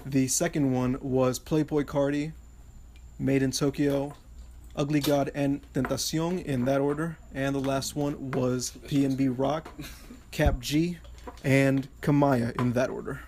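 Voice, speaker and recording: A man's voice: mid-range voice, fairly even intonation, unhurried, a little mellow, slight background noise. A young man hosting a casual podcast panel outdoors at night, laid-back and steering the chat. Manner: laid-back, hosting over side chatter. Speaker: podcast host.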